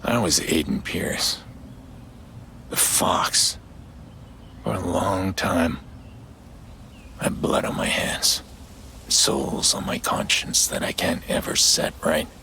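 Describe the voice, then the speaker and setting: An actor playing a weary, guilt-ridden middle-aged vigilante in a video game, with a hushed, gravelly voice. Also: male voice, low voice, takes it slowly, long pauses, slight background noise, exaggerated voice. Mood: grim, remorseful. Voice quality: gravelly, hushed, near-whispering. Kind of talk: dramatic video game voice acting.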